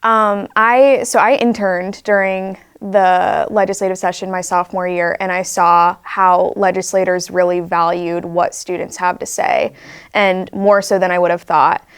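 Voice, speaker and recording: A young woman from South Dakota speaks thoughtfully and earnestly, dropping in casual fillers like "like." Her voice sits fairly low and stays even. Her tone is smooth and clear. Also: measured pace. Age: young adult. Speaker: student government vice president. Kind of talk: interview answers.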